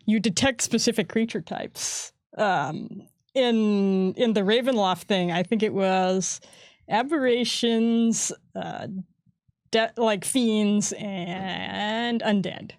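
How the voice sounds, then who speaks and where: A woman chatting casually about tabletop game rules, laughing often and acting out quick voices. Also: mid-range voice, no room sound, studio quality. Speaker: game design staff co-host.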